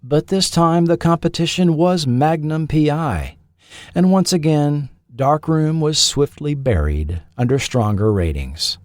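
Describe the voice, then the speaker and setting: A man's voice: a little high, lively intonation, a little slow, darkish tone, no room sound, clean studio sound. A man narrating a scripted documentary as its host.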